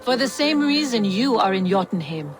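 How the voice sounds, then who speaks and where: A woman's voice: medium pitch, very animated, normal speed, slight background noise, natural voice. A woman voicing a cold scheming giantess. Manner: cool, curt, condescending. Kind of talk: video game cutscene acting.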